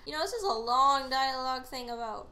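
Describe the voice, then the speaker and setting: A woman's voice: high voice, expressive, fairly quick, sharp tone. A young woman co-hosting a gaming show, chatting casually with blunt, wry jokes. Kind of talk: casual joking let's-play commentary.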